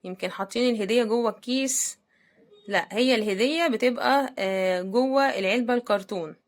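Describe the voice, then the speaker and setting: A woman's voice: on the high side, fairly quick. A woman with an Egyptian Arabic accent chats casually to her viewers, commenting as she hosts an unboxing video. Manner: chatty, addresses viewers directly. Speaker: host of product unboxing videos.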